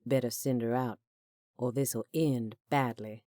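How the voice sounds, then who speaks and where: A woman voicing a cruel, volatile male villain who taunts slowly and then bursts into screams. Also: very deep voice, steady tone, warm tone, dry recording, studio quality, voice pushed down. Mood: taunting. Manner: slow, taunting, erupting into screams.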